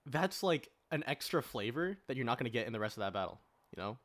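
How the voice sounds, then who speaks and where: A man's voice: mid-range voice, very animated, fast talker, a bit bright. Man making his case in casual debate. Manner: argues at length with rhetorical questions, frequent filler "like". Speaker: podcast panelist.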